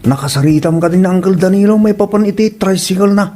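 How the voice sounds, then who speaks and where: A man acting in a comedic audio drama as an excitable friend who ribs his buddy. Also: high-pitched, fast, natural voice. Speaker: friend of the driver. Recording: music bed behind the voice.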